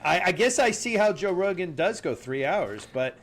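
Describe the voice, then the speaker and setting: A man co-hosting a podcast in casual banter, with a nasal, stuffed-up voice, chatty and quick to laugh. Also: sits high, expressive, a bit bright. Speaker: podcast co-host.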